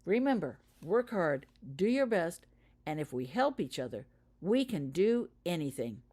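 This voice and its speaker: A woman's voice: fairly low pitch, sing-song, a little slow, mellow. A woman voicing an earnest, organised schoolgirl who leads her group in a businesslike, rallying way, in a storybook reading.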